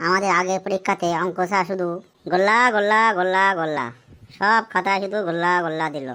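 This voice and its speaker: A woman's voice: on the low side, steady tone, fast talker, sharp tone. Woman voicing a cheeky cartoon schoolboy. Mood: cheeky, pleading. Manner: cheeky, smart-alecky. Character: cheeky young schoolboy, a classroom joker. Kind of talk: comic cartoon dialogue.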